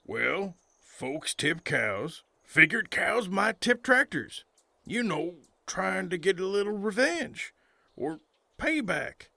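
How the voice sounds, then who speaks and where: A man acts out a stubborn country farmer with a drawl. His voice is bright and forceful with a gravelly creak, recorded close and dry. It is folksy comic western audio drama. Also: high-pitched, big pitch swings, slow, no echo, exaggerated voice. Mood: earnest, puzzled.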